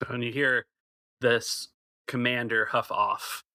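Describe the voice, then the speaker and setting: Bright, gravelly male game master. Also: medium pitch, takes it slowly, dry recording. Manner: relaxed, loose descriptive narration. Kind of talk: narrating a tabletop game.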